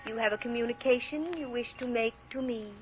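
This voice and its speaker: A woman's voice: a little high, brisk, a little hiss or hum, natural voice. An actress playing a stately, pious, commanding Reverend Mother in a vintage radio drama. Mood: solemn, formal. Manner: formal, stately, pious, authoritative. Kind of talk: 1930s-40s radio drama acting. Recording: muffled vintage radio broadcast recording.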